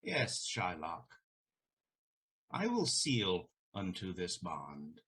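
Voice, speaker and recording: An actor playing a proud, scornful elderly merchant in a Shakespearean audio drama, with a haughty, declamatory delivery. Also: male voice, low voice, wildly swooping pitch, drawn-out words, a bit bright, husky, exaggerated voice. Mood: assured.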